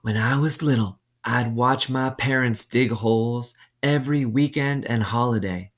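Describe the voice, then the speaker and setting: A man voicing a cold, greedy woman warden in a storybook, unhurried and menacing. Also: mid-range voice, pitched above the performer's own voice, close to an everyday voice. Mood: bitter.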